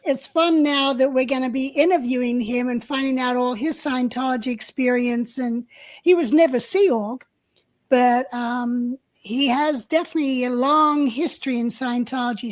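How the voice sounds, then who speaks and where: A female co-host with a high voice. She talks in a chatty way and breaks in to explain terms for the listeners in a friendly tone. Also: normal speed. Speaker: podcast co-host. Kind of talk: casual interview.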